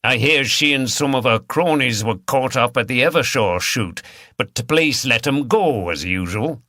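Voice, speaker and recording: A man's voice: mid-range voice, lively intonation, normal speed, bright, forceful tone, dry recording, studio quality, pitched above the performer's own voice. A man voicing a blunt, grumbling working man, bantering as a pub regular in an audiobook.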